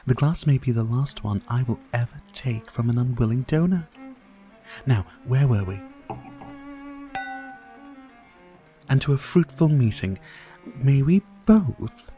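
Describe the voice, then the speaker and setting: A man playing a suave, sadistic vampire host in an intimate ASMR roleplay, courtly and mocking, with seductive drawn-out pauses. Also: medium pitch, lively intonation, warm tone, faint music behind, close to an everyday voice. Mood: sinister, taunting. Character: suave sadistic male vampire host toying with his prey.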